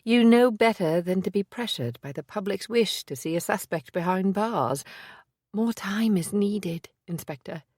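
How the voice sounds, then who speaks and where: A woman voicing a determined woman private investigator, speaking in a measured, formal, resolute way. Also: mid-range voice, animated, darkish tone, breathy edge, no room sound, clean studio sound, voice pushed up. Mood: composed.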